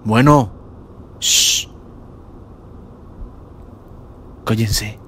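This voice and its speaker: A man's voice: lowish voice, fairly bright tone, slight background noise. A man playing a laid-back, dismissive young university student in an audio drama, speaking casually with a Paraguayan accent. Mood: teasing.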